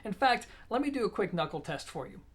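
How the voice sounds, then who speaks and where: A product reviewer hosting an audio gear video. The voice sits at a middle pitch. The delivery is informal and explanatory, speaking straight to the viewers. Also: very animated, fast, background noise. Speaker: product reviewer and show host.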